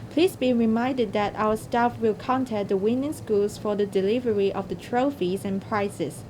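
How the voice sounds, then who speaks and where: A woman's voice: normal speed, mellow, some chatter behind. A woman reading a scripted, formal announcement as presenter of competition results. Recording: noisy recording with low rumble.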